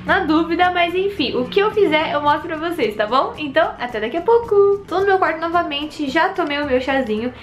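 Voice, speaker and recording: A woman's voice: extremely high pitch, lively intonation, brisk, hardly any pauses. A young woman from Brazil, chatting casually and giggling to a handheld camera in her room. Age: young adult. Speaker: vlogger. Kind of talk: casual vlog chat to camera. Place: small bright bedroom and kitchen indoors. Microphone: handheld camera at arm's length.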